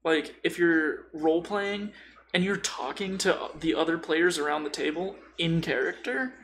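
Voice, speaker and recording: A man chatting casually on a podcast about games, telling stories in an informal, animated, sweary way. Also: fairly high pitch, unhurried, slight background noise. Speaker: podcast host or guest discussing tabletop games. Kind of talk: casual chat.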